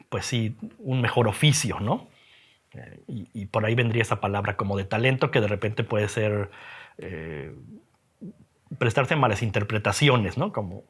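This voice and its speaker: Low, husky middle-aged Mexican man. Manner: reflective, halting, frequent tag questions. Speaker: interviewed graphic designer and teacher. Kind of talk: reflective personal interview talk.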